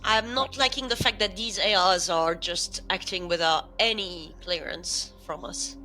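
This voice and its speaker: A woman's voice: fairly low pitch, a little slow, pushed and bright, faint music behind. A woman playing an insistent, distrustful operations officer in an improvised sci-fi audio drama, complaining in exasperation. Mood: exasperated.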